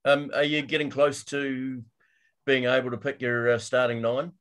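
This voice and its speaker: A man's voice: medium pitch, level tone, moderate pace, fairly bright tone. A man with a mid-range voice hosts a sports podcast on a video call. He asks guests casual, friendly questions. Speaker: podcast host.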